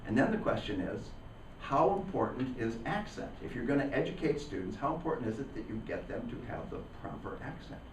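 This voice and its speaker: A man with a northeastern Pennsylvania accent leading a relaxed classroom discussion and telling anecdotes. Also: medium pitch, brisk, slight background noise. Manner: conversational, anecdotal. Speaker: teacher. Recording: roomy classroom, mic at a distance.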